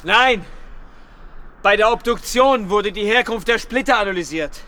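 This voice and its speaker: An urgent army investigator in a radio play, highish sharp voice, pushing formal questions, faint noise around him. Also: male voice, animated, a little slow, exaggerated voice. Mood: confrontational. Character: insistent male military investigator, urgent and probing. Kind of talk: naturalistic German radio drama acting.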